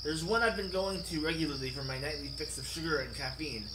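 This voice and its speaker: Higher-voiced man narrating in an echoey room. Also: fast talker, noisy background. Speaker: let's play host. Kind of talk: reading a visual novel aloud, narration. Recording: echoey room, music behind. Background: game music.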